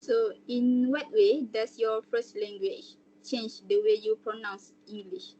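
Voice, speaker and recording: A woman interviewing a student, asking questions slowly and carefully. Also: fairly high pitch, steady tone, dark tone. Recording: dull lo-fi recording.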